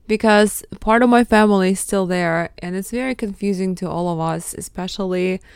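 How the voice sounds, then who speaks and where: A woman's voice: lowish voice, clear, resonant tone, no room sound, studio quality. A woman from Ukraine whose first language is Russian, hosting her podcast in an earnest, heartfelt and thankful way. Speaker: podcast host. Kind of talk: solo podcast intro.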